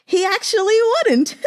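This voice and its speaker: An American woman giving casual, chatty book commentary in a conversational, expressive way with emphatic exclamations. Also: very high-pitched. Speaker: book reviewer and host.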